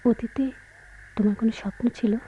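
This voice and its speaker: An actress playing a lonely, resentful wife in a Bengali radio drama, plaintive and challenging. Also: female voice, a little high, fairly even intonation, a little fast, dark tone, slightly rough. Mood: probing. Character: lonely, resentful young wife, questioning and reproachful. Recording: dull lo-fi radio recording.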